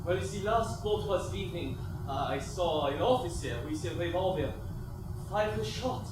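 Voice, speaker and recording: High-voiced, emphatic ship's officer. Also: male voice, animated, normal speed. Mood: grave. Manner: emphatic, dramatic. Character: agitated, defensive male ship's officer recounting disaster. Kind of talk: theatrical stage acting. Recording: very echoey theatre, distant, noisy.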